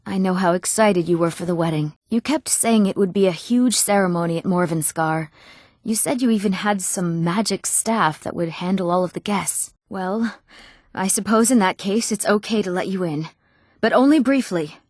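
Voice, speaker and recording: A woman's voice: mid-range voice, moderate pace, a little mellow, no echo, lightly acted. Woman voicing an earnest young fantasy townswoman, dry recording. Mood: chatty, reproachful. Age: young adult. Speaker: video game voice actress. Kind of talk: scripted fantasy game dialogue.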